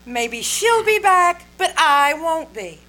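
Woman playing a high, animated, sarcastic radio wife. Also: slow, exaggerated voice. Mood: indignant. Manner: sarcastic, theatrical. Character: jealous, sarcastic young wife in radio comedy. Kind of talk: old-time radio comedy acting.

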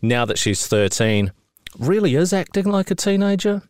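A man's voice: high-pitched, normal speed, smooth, no echo. A middle-aged man from New Zealand with a New Zealand accent, chatting as a podcast co-host, swearing freely and telling stories with growing indignation. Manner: swears freely, animated storytelling with rising indignation. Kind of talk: casual chat.

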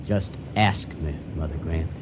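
A man's voice: very deep voice, steady tone, measured pace. An actor playing a folksy, menacing con-man pirate who poses as a pious sailor, drawling and taunting in a radio drama. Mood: smug menace. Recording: noisy storm-like ambience behind voice.

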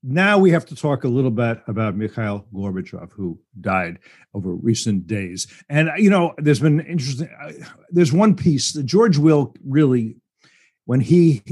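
A man's voice: medium pitch, sing-song, normal speed, a little mellow, breathy edge. A male radio host interviewing a guest in a folksy, wry manner. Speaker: radio talk show host. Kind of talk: broadcast interview.

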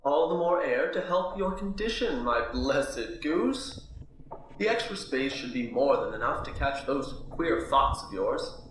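An actor playing a smug, controlling physician husband in a radio drama, speaking in a patronizing, formal, pompous way. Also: male voice, fairly high pitch, a bit bright. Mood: patronizing fondness. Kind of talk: theatrical radio drama, period diction. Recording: very echoey room, faint noise, clicks.

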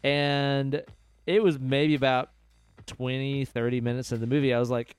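A man with a quiet voice co-hosting a podcast, talking casually about a movie and swearing freely. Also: mid-range voice, smooth, dry recording. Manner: relaxed, swears casually, drawn-out emphasis. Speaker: podcast co-host.

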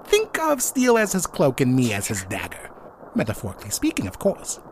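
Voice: medium pitch, wildly swooping pitch, brisk, background noise. An actor playing an earnest rookie detective who talks formally and precisely in a comedic noir audio play. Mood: bluffing, formal.

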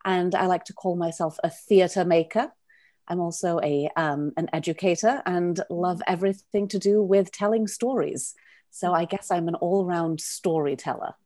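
Low-voiced Welsh woman, dry close sound. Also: normal speed, warm tone, dry recording. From Wales. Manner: chatty, expansive, laughs often. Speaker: playwright and director, guest. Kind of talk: podcast interview.

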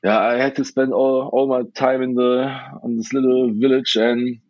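A man's voice: mid-range voice, flat delivery, moderate pace, dry recording. A man who was born in Hungary and raised in western Germany talks through a casual interview. He hesitates a lot, repeats words and restarts his sentences. The recording sounds dull and dry. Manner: hesitant, many restarts and fillers, laughs often. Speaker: interview guest, designer and illustrator.

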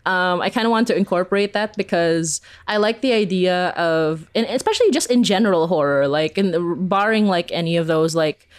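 A woman's voice: on the low side, animated, a little fast, hardly any pauses. Chatty, giggly woman in casual interview. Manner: rambling, informal, heavy use of "like"; giggly, laughs often while talking. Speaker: podcast creator being interviewed.

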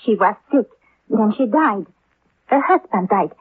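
A woman's voice: medium pitch, expressive, a little slow, breathy edge, no room sound. A woman playing a defensive, self-pitying European swindler in a 1950s radio drama, with formal, careful phrasing. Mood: pleading, defensive. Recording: thin, narrow-band vintage 1950s radio.

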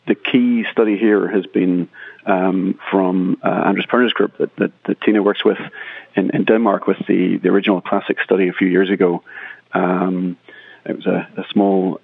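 Deep gravelly man. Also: no echo. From Northern Ireland. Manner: repeats words while thinking, measured. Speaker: critical care consultant guest. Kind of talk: remote podcast interview. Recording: tinny phone-like line, dry.

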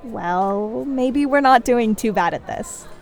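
A woman's voice: fairly high pitch, lively intonation, slow pace, darkish tone, soft background music, some chatter behind. Actress as a reserved, supportive female date. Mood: light. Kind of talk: naturalistic audio drama dialogue.

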